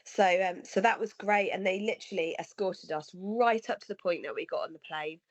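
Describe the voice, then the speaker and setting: A woman in her thirties with a cold, sounding slightly nasal and husky, chatting earnestly as a podcast co-host. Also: medium pitch, brisk, dry recording. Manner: earnest, rambling with restarts. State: has a cold, sniffly. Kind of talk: casual conversation. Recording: dry, slightly dull lo-fi sound.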